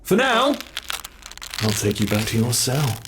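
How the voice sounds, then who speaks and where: Casting: man to play a sadistic monster under the bed for horror ASMR roleplay; mid-pitched, slow, savoring delivery, gloating and menacing, gravelly. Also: wildly swooping pitch, heavy background noise. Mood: taunting. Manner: slow, taunting, savoring every word.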